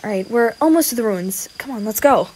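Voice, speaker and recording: A woman's voice: on the high side, expressive, fairly quick, noisy background, natural voice. A woman voicing a goofy, reckless young male adventurer in an audio roleplay, bumbling and playful as the character talks to a companion. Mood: sheepish. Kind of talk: audio roleplay addressed to the listener.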